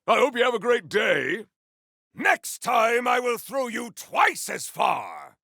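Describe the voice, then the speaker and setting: Battle-hungry video game warrior: forceful, gravelly, theatrical and declamatory, in a very dry recording. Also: male voice, very high voice, sing-song, slow, no room sound, heavily acted. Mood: battle fury. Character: proud, battle-hungry warrior with grandiose, formal speech. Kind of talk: video game combat barks.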